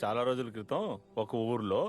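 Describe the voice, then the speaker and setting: A man's voice: mid-range voice, a little fast, lightly acted. A man playing a patient, worldly father who tells and explains a moral bedtime story in a cartoon. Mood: calm storytelling. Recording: long reverb, music behind.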